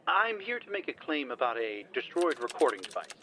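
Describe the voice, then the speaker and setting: A man playing an earnest, improvising smooth talker from an exploration team in an audio drama, formal but halting. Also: mid-range voice, big pitch swings, sharp tone, slight background noise. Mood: formal, bluffing.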